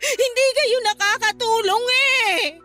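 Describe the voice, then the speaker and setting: A woman's voice: extremely high pitch, unhurried, bright, forceful tone, exaggerated voice. An actress playing a distressed wife and mother in her thirties, tearful and pleading with her own mother, in a radio drama. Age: 30s. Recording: radio drama with music bed.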